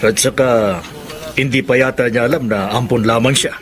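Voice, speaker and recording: An actor playing a servant's respectful, inquisitive brother, conversational and probing, in a Tagalog radio drama. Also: male voice, medium pitch, steady tone, normal speed, close to an everyday voice. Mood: curious.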